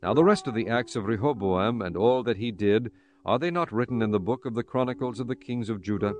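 A man narrating scripture from a script in a measured, solemn manner. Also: mid-range voice, darkish tone. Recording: music bed behind the voice.

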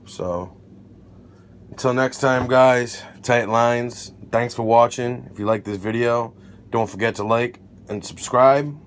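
A man's voice: mid-range voice, slow. A man casually narrating an unboxing vlog as the creator, indoors at a table. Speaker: fishing video creator. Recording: noticeable background noise.

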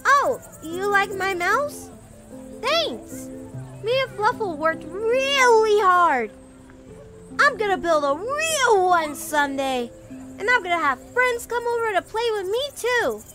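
A woman's voice: very high voice, sing-song, takes it slowly, music in the background, exaggerated voice. A woman voicing a sweet, earnest, anxious little girl in a fantasy audio drama, with a hesitant, innocent way of talking. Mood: playful. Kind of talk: fantasy audio roleplay acting.

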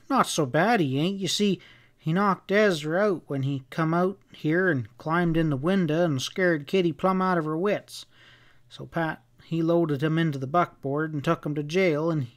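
A man's voice: on the high side, moderate pace, lightly acted. A man voicing an anxious, stubborn frontier husband in a storybook western, with rustic, hesitant, stammering talk. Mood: reassuring, flustered.

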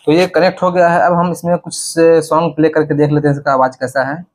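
A man's voice: fairly high pitch, level tone, quick pace, a little hiss or hum. A young man, explaining step by step to camera in a casual vlog style. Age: young adult. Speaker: vlogger. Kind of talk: casual product installation demo to camera. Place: indoors in a small bedroom.

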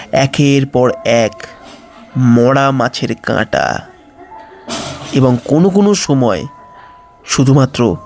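A man narrating a horror story as a storyteller, dramatic and suspenseful, with deliberate pauses. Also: mid-range voice. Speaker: storyteller, channel host. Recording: music under the voice.

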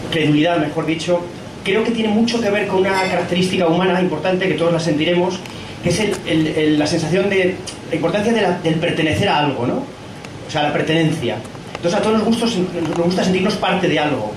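Man, high-pitched, hesitant, informal audience comment, echoey, clear background noise. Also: far from the mic. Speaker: audience member. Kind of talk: informal spoken reflection from the audience. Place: pub event room, indoors.

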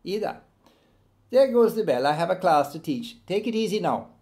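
A man's voice: high voice, big pitch swings, normal speed, darkish tone, voice pushed up. A man voicing an easygoing male Jamaican schoolteacher in a storybook reading, explaining things matter-of-factly. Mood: unruffled.

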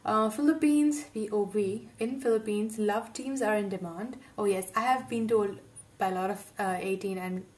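A woman's voice: medium pitch, normal speed, darkish tone, distant mic. A young woman from India, with English not her first language, giving outspoken, emphatic commentary in a reaction video recorded in her bedroom on an earphone mic. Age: young adult. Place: home bedroom, indoors.

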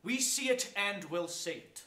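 A man's voice: high voice, expressive, measured pace, bright, forceful tone, full character voice. A man playing a solemn, pleading petitioner in a formal, declamatory Shakespearean stage reading. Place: theatre stage, far from mic. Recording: echoey theatre, distant mic, some noise.

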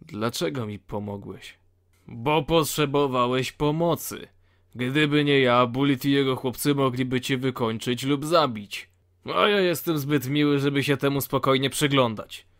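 A man's voice: medium pitch, measured pace, a bit bright. A man playing blunt, dryly sardonic convicts of a penal colony in a fantasy audio drama. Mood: blunt, matter-of-fact.

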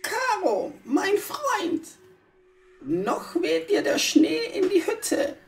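A woman voicing a tiny ancient gnome in a children's audio play, gently murmuring with patient, whispery slowness. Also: very high voice, wildly swooping pitch, far from the mic, exaggerated voice. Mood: gentle, soothing. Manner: slow, soothing murmur.

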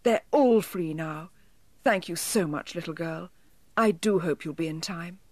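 A woman's voice: fairly low pitch, unhurried, darkish tone, no echo. A woman with a British accent voicing a tiny, polite, pleading male elf in a children's storybook. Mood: relieved.

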